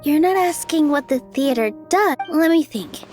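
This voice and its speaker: Casting: earnest, gentle game heroine, young and high-voiced, quietly determined. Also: female voice, animated, moderate pace, soft background music. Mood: thoughtful, earnest. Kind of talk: anime game voice acting.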